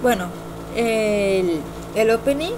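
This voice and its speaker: Young girl vlogger, highish voice, rambles and repeats herself, lots of noise on the mic. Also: takes it slowly. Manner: rambling, repetitive, hesitant. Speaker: amateur video reviewer. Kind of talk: casual opinion vlog. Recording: noisy amateur home computer recording.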